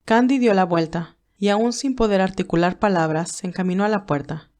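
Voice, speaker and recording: A woman narrator reading a romantic drama as a scripted audiobook, in a medium-pitched voice. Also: a little fast, darkish tone.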